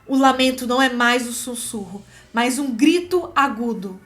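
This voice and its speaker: A Brazilian woman with a notably high voice teaches screenwriting to viewers. Her delivery is chatty and expressive, with frequent little check-in tags as she explains and reads aloud. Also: moderate pace. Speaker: screenwriting teacher and video host. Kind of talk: casual explanatory commentary with script read-aloud.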